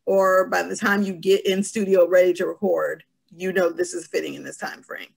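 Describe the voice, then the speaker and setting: Very creaky, husky woman moderating. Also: on the low side, steady tone, normal speed, poor audio. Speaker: panel moderator. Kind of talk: casual moderating, relaying audience questions. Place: room at home, indoors. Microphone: video call.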